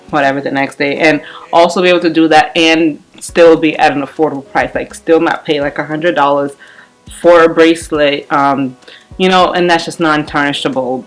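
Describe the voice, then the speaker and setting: Brief: twenties woman, deep for her sex, chatty, loose and repetitive, soft music underneath. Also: rough recording. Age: 20s. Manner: rambling, repeats herself, many fillers. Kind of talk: casual chat while doing her hair.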